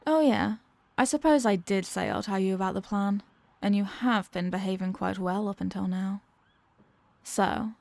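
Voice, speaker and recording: A woman playing a seductive, dominant villain in an intimate ASMR roleplay, teasing and sultry, often slipping toward breathy whispers. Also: medium pitch, a little mellow, dry recording. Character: seductive, dominant female villain toying with her hostage. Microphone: close microphone in a dry space.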